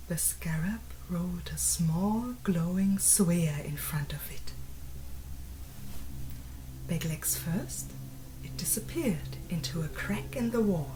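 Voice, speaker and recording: Slow, hushed woman narrating an audiobook. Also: fairly low pitch, poor audio. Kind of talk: scripted literary narration.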